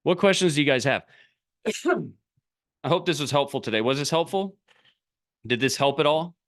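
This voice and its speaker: A man's voice: on the high side, expressive, normal speed, fairly bright tone. A middle-aged man coaching a group over a video call, talking bluntly in short, punchy, repeated sentences. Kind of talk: motivational talk on a live video call.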